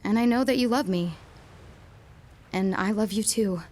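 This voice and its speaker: A young woman playing a lovestruck, self-doubting high school girl in an audio drama, with an emotional, searching delivery. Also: measured pace, darkish tone, close to an everyday voice. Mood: tender, intense.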